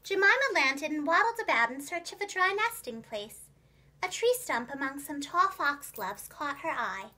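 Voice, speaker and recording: Female children's storyteller: high, bright voice, expressive and clearly enunciated, scripted storybook narration. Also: moderate pace. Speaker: preschool teacher and children's storyteller.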